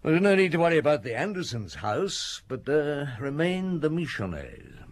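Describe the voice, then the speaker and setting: An actor playing a shrewd, methodical police chief inspector in an old radio drama, with deliberate, probing delivery and dramatic pauses. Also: male voice, a little high, sing-song. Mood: probing, assured. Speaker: detective.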